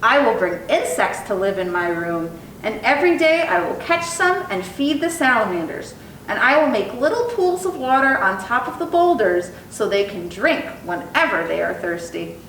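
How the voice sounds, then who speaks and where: A woman's voice: sing-song, moderate pace, a bit bright. A woman reading the part of an eager, imaginative young boy in a children's storybook, with earnest, careful phrasing. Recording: echoey room, distant mic, faint rumbling noise.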